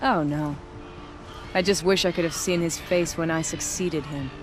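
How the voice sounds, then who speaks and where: A woman's voice: sits low, steady tone, moderate pace, music in the background, lightly acted, poor audio. A woman playing a proud, steely noble huntress in a video game, formal and poised in her speech. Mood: warm, satisfied.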